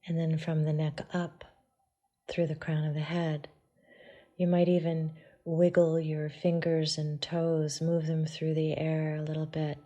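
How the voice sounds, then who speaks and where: A woman teaching yoga meditation, guiding listeners in a soft, hushed delivery with pauses. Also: sits low, level tone. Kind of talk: guided meditation.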